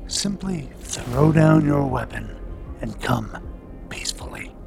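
An actor playing a snake-like, taunting captain of the royal guard in a science-fiction audio drama, with slow, smug formality and a gravelly voice. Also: male voice, medium pitch, animated, a little mellow, exaggerated voice. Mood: smug menace. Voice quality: creaky, gravelly. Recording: music under the voice. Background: dramatic music.

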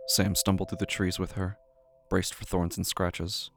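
A man reading scripted horror drama narration in a measured, literary, solemn way. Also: sits low, flat delivery, creaky, over music. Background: night crickets and insects.

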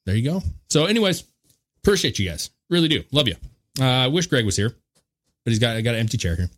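A man's voice: mid-range voice, big pitch swings, fast talker, a bit bright. A man hosting a podcast in loose, laid-back banter, swearing freely and chuckling between remarks. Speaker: podcast host.

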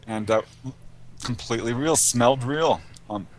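A man's voice: mid-range voice, a little slow. A middle-aged man speaking as an interview guest, casually recounting cases and anecdotes. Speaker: interview guest, UFO researcher and author.

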